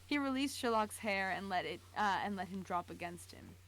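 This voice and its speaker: A woman's voice: mid-range voice, expressive, moderate pace, a bit bright, low-quality recording. A woman narrating fan fiction dramatically on a live stream, stumbling over words and dropping into casual asides. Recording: clear background noise.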